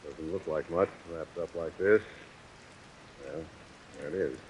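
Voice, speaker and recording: A man acting as a gruff, wealthy old boss in a 1940s radio drama, brusque and offhand. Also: very deep voice, a little slow, darkish tone. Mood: offhand, proud. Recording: dull, hissy vintage radio recording.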